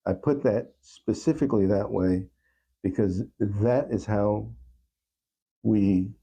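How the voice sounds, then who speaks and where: Man, low-pitched, very mellow and dark, reflective interview guest, emphatic repetition. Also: takes it slowly, slightly rough, poor audio. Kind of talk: reflective interview talk about own songwriting.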